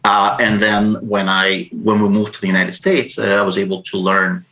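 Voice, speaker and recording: Low-voiced man on a lo-fi line. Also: little pitch movement, moderate pace, pushed and bright. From Ukraine, raised in the Soviet Union. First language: Russian. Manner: thoughtful, hesitant, many uh fillers and repeats. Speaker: podcast guest, writer. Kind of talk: interview answers.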